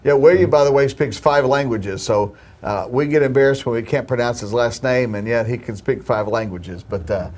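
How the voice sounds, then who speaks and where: A man's voice: medium pitch, normal speed, hardly any pauses, darkish tone. A man, a film producer, answering interview questions in an earnest, hopeful way with occasional restarts.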